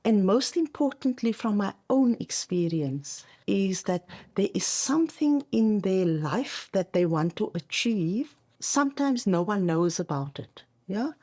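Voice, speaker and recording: A woman's voice: mid-range voice, animated, a little slow, hardly any pauses, a little mellow. A middle-aged South African woman whose first language is Afrikaans, talking conversationally as a coach being interviewed on a podcast, making emphatic points and checking in as she goes.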